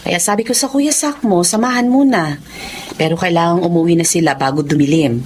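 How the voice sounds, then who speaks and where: An actress playing a concerned, sensible older sister in a bereaved family in a Filipino radio drama. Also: female voice, on the low side, fairly quick, a little mellow, a little hiss or hum, close to an everyday voice. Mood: worried.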